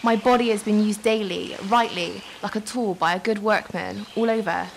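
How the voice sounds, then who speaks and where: A woman's voice: mid-range voice, steady tone, moderate pace, creaky, a little hiss or hum, close to an everyday voice, low-quality recording. A woman playing a hard, earthy, plain-spoken middle-aged country woman in a literary radio drama. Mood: challenging.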